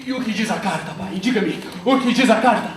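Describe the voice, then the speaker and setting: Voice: medium pitch, brisk, crowd noise, close to an everyday voice. A performer playing a pleading, insistent son in a radio melodrama, declaiming theatrically. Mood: pleading, dramatic. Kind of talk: theatrical radio drama parody. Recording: echoey stage from far off, guitar behind.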